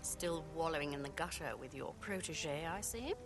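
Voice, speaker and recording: An actress playing a cold, haughty older female crime boss with a condescending, deliberate manner in a video game. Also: sits low, music in the background. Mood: mocking, menacing. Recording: rain and score behind.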